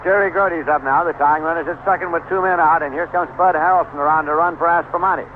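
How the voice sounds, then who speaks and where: A man does live baseball commentary for radio in a somewhat high-pitched voice. He describes the game in measured, clipped phrases. The sound is thin and band-limited, with clear noise over it, like an old AM broadcast from the seventies. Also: fairly even intonation. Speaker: baseball play-by-play announcer. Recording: noisy, tinny vintage 1970s AM radio broadcast.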